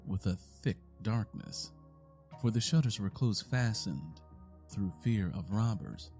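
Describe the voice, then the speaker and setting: Man, theatrical horror narration, very slow, dark mellow tone, deliberate pauses, music bed. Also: fairly low pitch. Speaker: narrator performing a horror story. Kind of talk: dramatic scripted narration in the first person.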